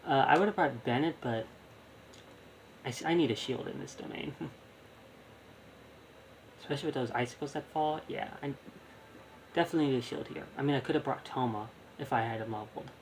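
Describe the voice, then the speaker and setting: A man's voice: medium pitch, brisk, pauses often, slight background noise. A man streaming a video game, thinking aloud in casual, rambling live commentary.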